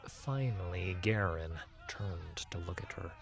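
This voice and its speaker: A man with a lowish voice narrates a fantasy audiobook. His delivery is slow, solemn and dramatic, with music playing softly behind him. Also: vocal fry, breathy edge. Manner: measured, solemn.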